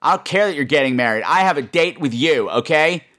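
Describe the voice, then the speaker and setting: A man's voice: a little high, animated, brisk, fairly bright tone. A man hosting a horror podcast, chatting to his listeners in a campy, playful, self-mocking and emphatic way. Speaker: podcast host.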